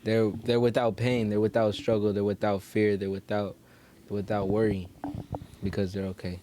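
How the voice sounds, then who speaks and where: A man's voice: lowish voice, level tone, moderate pace, background noise. A man in casual podcast conversation, talking in slangy, profane phrases that he repeats for emphasis. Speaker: podcast co-host. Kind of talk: casual chat.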